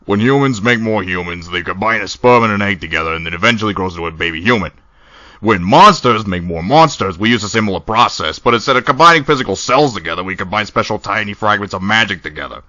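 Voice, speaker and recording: Guy doing a chill teasing skeleton bro, lowish but really bright, dry slightly lo-fi audio, cartoon dub. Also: expressive, brisk, no echo. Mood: amused, explanatory. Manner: casual, wry, drawling. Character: laid-back, teasing male skeleton, wry older brother. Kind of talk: animated comic dub acting.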